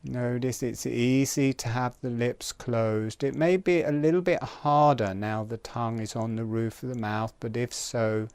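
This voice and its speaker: A man giving guided breathing and relaxation instruction in a calm, gentle manner, in short spaced phrases. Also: medium pitch, unhurried, hardly any pauses, clear, resonant tone, dry recording.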